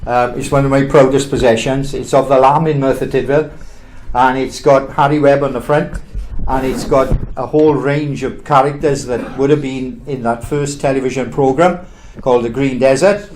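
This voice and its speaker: A man's voice: mid-range voice, steady tone, moderate pace, darkish tone, slight background noise, rough recording. A man introduces a poem in a chatty way, then reads it in a raised, declaiming voice. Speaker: poetry reader at open mic. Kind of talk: introducing and reading a poem aloud.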